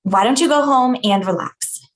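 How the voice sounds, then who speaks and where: A woman's voice: sits high, moderate pace, close to an everyday voice, low-quality recording. A woman playing an exasperated but caring coworker in a comedy table read. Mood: concerned.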